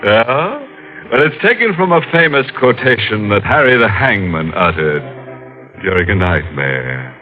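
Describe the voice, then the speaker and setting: A sly, ghoulish horror host on old radio. His low voice drawls very slowly between dry puns. Faint music plays under a dull, muffled sound. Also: sing-song. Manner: sly, slow, chuckling, punning. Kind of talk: 1940s radio acting.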